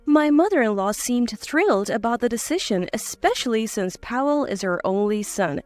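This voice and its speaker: A woman's voice: fairly high pitch, lively intonation, moderate pace, faint music behind. A woman reading a scripted first-person story as its narrator.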